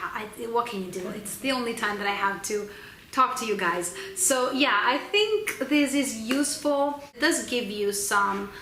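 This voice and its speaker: Chatty Italian-accented woman, bright voice. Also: on the high side. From Italy. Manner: chatty, hesitant with many ums and restarts. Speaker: book channel host. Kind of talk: casual book wrap-up vlog. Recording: echoey room with faint noise.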